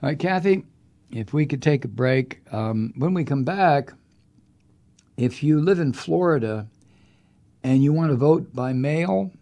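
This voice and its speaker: Gravelly, angry podcast host man. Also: on the high side, animated, unhurried, pauses often, warm tone. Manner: angry, profane, emphatic ranting. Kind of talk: political commentary monologue.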